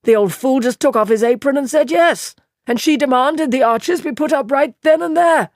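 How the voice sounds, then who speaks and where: A woman's voice: high-pitched, normal speed, no room sound, clean studio sound, pitched above the performer's own voice. A woman voicing a chattering, easily flustered village man in an audiobook reading. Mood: gossiping. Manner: breathless gossiping, stammering when nervous.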